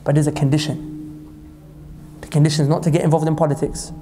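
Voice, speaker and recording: A man from Pakistan delivering an impassioned sermon as a preacher, reciting scripture quickly and climbing to high emphatic peaks. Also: little pitch movement, dark tone, slight background noise. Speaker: Islamic preacher.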